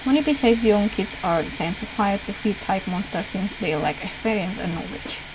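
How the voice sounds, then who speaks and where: A woman's voice: mid-range voice, normal speed, background noise. A woman reading visual novel dialogue aloud as a let's player, lightly acting out the lines. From Indonesia. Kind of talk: read-aloud game dialogue, acted lightly.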